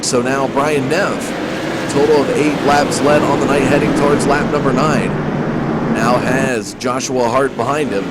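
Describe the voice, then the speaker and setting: Stuffy-nosed male race commentator. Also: mid-range voice. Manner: steady play-by-play, addresses folks directly. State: congested, stuffy nose. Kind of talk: play-by-play sim racing commentary. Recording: loud engine noise behind voice. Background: loud race car engine noise.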